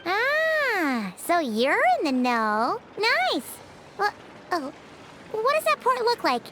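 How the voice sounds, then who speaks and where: A woman's voice: huge pitch swings, slow, background noise, exaggerated voice. A woman voicing a tiny childlike companion creature in a video game, chatty, exclamatory and prone to grumbling. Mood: curious. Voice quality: squeaky, childlike. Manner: third-person self-reference, exclamatory. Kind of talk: animated video game voice acting.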